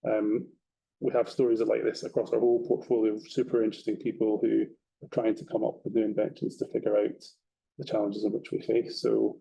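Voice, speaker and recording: A man's voice: lowish voice, completely flat delivery, brisk, creaky, warm tone, slightly rough, poor audio. A man with an Edinburgh Scottish accent, a guest in a webinar talking in an easygoing way and often repeating words as he goes. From Scotland. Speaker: guest, property company representative. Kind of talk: conversational webinar talk.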